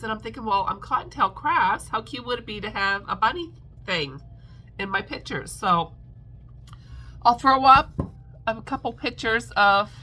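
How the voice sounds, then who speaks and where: A woman's voice: a little high, pushed and bright. A woman crochet vlogger chatting casually at home, stuffed up and congested, rambling with lots of ums and little laughs. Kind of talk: casual chat to camera.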